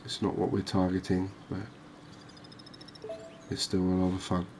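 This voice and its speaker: A soft-spoken man giving unhurried, soothing ASMR commentary over a video game. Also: deep voice, monotone, background noise. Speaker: gaming video host.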